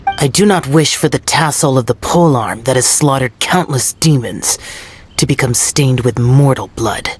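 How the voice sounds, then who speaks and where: Casting: man playing aloof young demon-slayer for a game, solemn, formal delivery, mid pitch, faint background noise. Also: normal speed, lightly acted. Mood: stern, brooding. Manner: formal, terse, solemn, archaic phrasing. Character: stern, aloof young male immortal demon-slaying guardian. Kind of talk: video game voice acting.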